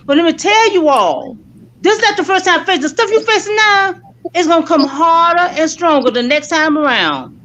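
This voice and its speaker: A woman's voice: extremely high pitch, animated, moderate pace, fairly bright tone. A middle-aged woman in casual group chat, lecturing insistently and repeating herself to drive a point home. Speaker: viewing-party panelist. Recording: slightly dull lo-fi stream audio.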